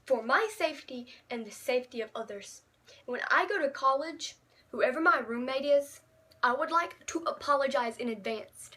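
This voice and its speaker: A teenage girl with a high, bright voice tells stories about herself straight to the camera. She is chatty and dramatic and makes fun of herself as she goes. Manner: chatty, dramatic, giggly, self-mocking. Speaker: vlogger. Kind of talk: casual storytime vlog.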